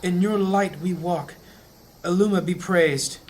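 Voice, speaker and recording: Guy doing a really high-voiced anxious holy healer, earnest and prayerful, fantasy audio play. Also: measured pace, a little hiss or hum. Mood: solemn, devout. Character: anxious, devout male priest and healer of a goddess.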